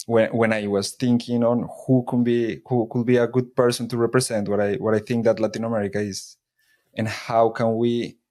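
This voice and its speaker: A man's voice: lowish voice, darkish tone. A man hosting a podcast, welcoming his guest and often repeating words as he thinks aloud. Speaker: podcast host. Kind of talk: podcast interview.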